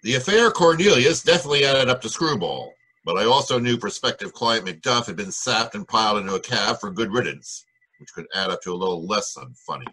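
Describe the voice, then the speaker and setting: An actor playing a wry, cynical private detective in 1940s radio noir style, with a husky voice and a sardonic manner. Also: male voice, lowish voice, expressive, brisk, bright, forceful tone, full character voice, rough recording. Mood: wry narration. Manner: sardonic, wisecracking. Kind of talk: 1940s radio noir acting, narration and dialogue.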